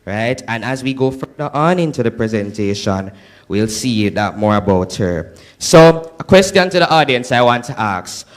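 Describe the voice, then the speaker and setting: A young Jamaican man giving a lively presentation to an audience, often checking in with "right?". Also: medium pitch, moderate pace. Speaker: student presenter.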